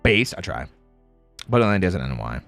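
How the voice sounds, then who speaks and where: A man's voice: sits low, very animated, quick pace, creaky, a bit bright, dry recording, soft background music. A man in a playful, casual livestream chat, reading out viewer comments and replying in slangy speech. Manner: playful, reads chat aloud and replies.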